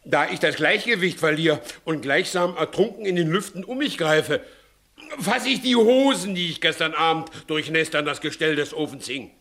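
A blustering, high-pitched shifty village judge. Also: male voice, moderate pace, pushed and bright. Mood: blustering self-justification. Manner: theatrical, blustering, exclamatory. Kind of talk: 1960s German radio play acting of classic verse comedy.

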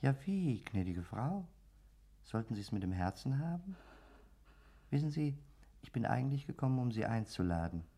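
A man's voice: medium pitch, big pitch swings, normal speed, pauses often, warm tone. An actor playing the polite, sardonic ghost of a murdered man in a radio play, chatty and mocking. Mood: courteous, inviting. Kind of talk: German radio play acting.

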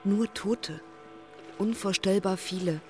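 Radio play role: withdrawn, uneasy woman actress, terse lines, very unhurried delivery, faint music beneath. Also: mid-range voice, dark tone, close to an everyday voice. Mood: somber, detached. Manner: terse, halting, sparse phrasing. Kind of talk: stylized radio play acting.

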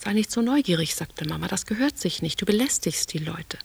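A woman's voice: on the low side, lively intonation, fairly quick, vocal fry, breathy edge, full character voice. An actress playing an anxious, withdrawn woman who broods in terse fragments, sometimes dropping toward a whisper, in a literary radio drama. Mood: brooding. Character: anxious, withdrawn younger woman lost in inner thoughts.

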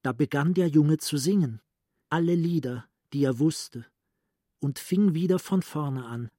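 A man's voice: on the high side, a little slow, dark tone, no room sound, clean studio sound. A male actor playing a cultured, introspective psychiatrist in a literary radio play. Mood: haunted recollection. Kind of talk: literary radio drama monologue.

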